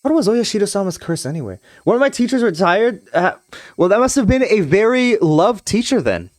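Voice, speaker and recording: A young man from Trinidad and Tobago talks with his viewers in a rambling, start-stop way. His pitch swings a lot and sits a bit high. The sound is dry and close to the mic. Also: very animated, brisk, no room sound. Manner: rambling, frequent restarts and hedging fillers. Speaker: streamer. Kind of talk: casual live-stream chat with viewers, reading a visual novel aloud.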